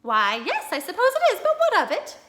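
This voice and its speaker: A woman's voice: extremely high pitch, wildly swooping pitch, brisk, a bit bright, pitched above the performer's own voice, full character voice. A woman reading aloud, voicing a thrifty, worried housewife who scolds sharply. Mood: fretful. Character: fussy thrifty housewife, middle-aged.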